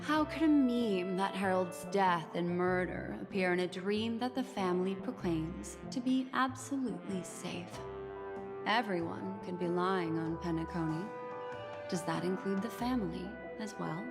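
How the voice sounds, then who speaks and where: Alluring female game mystic, unhurried, poised, cryptic, long reverb. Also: lowish voice. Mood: grave, knowing. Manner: slow, measured, theatrical, cryptic. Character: poised, enigmatic, alluring woman memory keeper and collector. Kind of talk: scripted game dialogue. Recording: long reverb, clear music behind.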